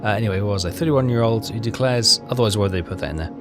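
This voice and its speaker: A man with a fairly low voice talks through a game walkthrough. He reads text aloud and thinks as he goes, in a halting, casual way. Music plays clearly behind him. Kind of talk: casual let's play commentary, reading game text aloud.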